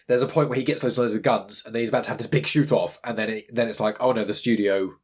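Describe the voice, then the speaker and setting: A man's voice: on the low side, steady tone, very fast, a little mellow, husky. A British man hosting a podcast, chatting casually in a rambling, conversational way. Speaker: podcast host.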